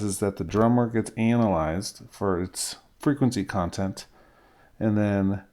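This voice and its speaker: A middle-aged man with a fairly low voice teaches in an informal tutorial style. He explains each step plainly, as an instructor recording at a desk in a small room. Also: a little mellow. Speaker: music software instructor.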